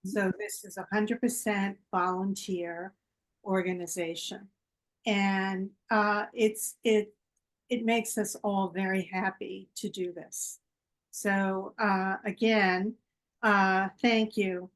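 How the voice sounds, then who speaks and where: A woman hosting an online fundraiser, introducing her group slowly with many pauses and fillers. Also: mid-range voice, level tone. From United States. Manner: slow and halting, with many fillers. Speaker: team captain and event host. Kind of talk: casual introduction.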